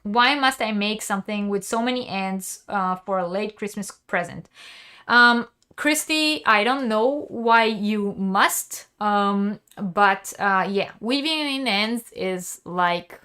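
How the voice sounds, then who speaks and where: Slow-talking woman teaching crochet. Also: mid-range voice, sharp tone, clear, resonant tone. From Israel. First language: Hebrew. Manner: explanatory, many ums and uhs. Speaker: crochet teacher hosting a live stream. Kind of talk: live-stream tutorial, reading chat.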